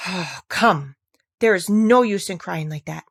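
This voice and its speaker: Curious, polite little storybook girl, played by a woman, musing to herself, lively swings in pitch, slightly creaky, close dry sound. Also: a little slow, heavily acted. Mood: sharp self-scolding. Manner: self-scolding, musing aloud.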